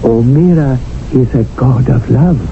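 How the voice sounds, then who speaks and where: A man's voice: medium pitch, a little slow, creaky, a little hiss or hum. An actor playing a wry, scheming male household servant who narrates in an ornate, theatrical 1970s radio drama style. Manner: sly, ornate, theatrical delivery. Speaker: narrator and manservant. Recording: tinny old radio broadcast with music.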